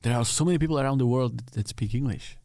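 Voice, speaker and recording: Czech-accented man in halting English. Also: medium pitch, mellow, no echo, clean studio sound. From Czech Republic. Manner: halting English, restarts and repeats words, laughs easily. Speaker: podcast host. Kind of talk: casual interview conversation.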